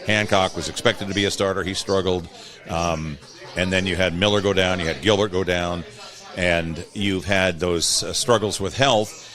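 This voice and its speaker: A man talking casually about sports as an interview guest, with a measured, halting delivery. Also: deep voice, fairly even intonation, fairly bright tone. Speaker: guest, PA announcer and post-game radio host.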